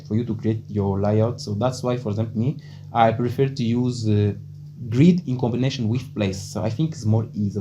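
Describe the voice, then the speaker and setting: A man's voice: mid-range voice, mellow. A man whose first language is Portuguese gives a casual coding lesson in English, chatty and loose, often tagging "okay?" and thinking aloud. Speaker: programming tutor. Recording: dull lo-fi mic with faint hum.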